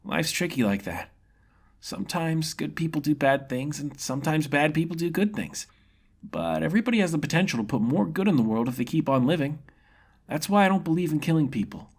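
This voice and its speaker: A man's voice: on the high side, big pitch swings, fairly quick, husky, pitched above the performer's own voice, heavily acted. A man voicing a cheerful, innocent young swordsman who talks in a casual, slangy, upbeat way for a fantasy story. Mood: earnest, friendly.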